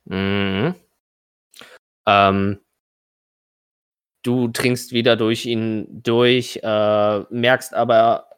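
A man's voice: fairly low pitch, smooth, no echo, clean studio sound. A man narrating tabletop combat as game master, hesitant and often correcting himself.

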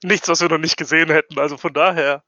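Bright, sharp-voiced man teasing fellow players. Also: fairly high pitch, steady tone, fast talker, no echo, dull audio. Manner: dry, teasing. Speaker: tabletop game player. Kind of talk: casual game banter.

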